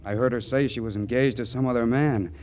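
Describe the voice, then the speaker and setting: Male, acted: lovesick young student, medium pitch, earnest, hesitant stammer, old-time radio comedy. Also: steady tone, a little fast, a little mellow, close to an everyday voice. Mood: dejected. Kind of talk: 1940s radio comedy acting. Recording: vintage 1940s radio recording.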